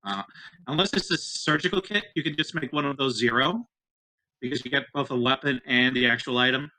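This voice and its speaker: A man's voice: medium pitch, a little fast, bright, forceful tone, no echo, poor audio. A man playing a tabletop roleplaying game, explaining things casually. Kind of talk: casual game talk mixed with in-character dialogue.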